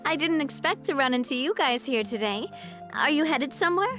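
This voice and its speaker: A woman's voice: high-pitched, lively intonation, brisk, pushed and bright, faint music behind. A woman playing a spirited, responsible young female leader in a video game, speaking in an upbeat, conversational, self-deprecating way. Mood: cheerful, surprised.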